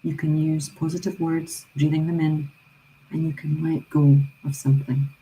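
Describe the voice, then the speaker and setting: A woman guiding a breathing meditation with calm, soothing, gently repeated instructions. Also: sits low, normal speed, slight background noise, poor audio. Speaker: meditation guide.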